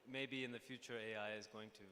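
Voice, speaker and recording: A young man, a documentary filmmaker, giving an unscripted introduction on a conference panel and stopping often to restart and fill pauses. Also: fairly low pitch, fairly even intonation, sharp tone, slight background noise. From Pakistan (born in the United States). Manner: hesitant, many fillers and restarts. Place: conference stage in a large hall. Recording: hall reverb with faint ambience.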